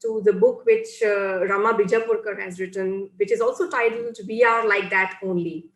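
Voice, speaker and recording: Woman, interviewer, mid pitch, roomy echo, careful questions with fillers. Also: fairly even intonation. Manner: measured, hedging with uh and um. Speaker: host interviewer. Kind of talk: academic interview.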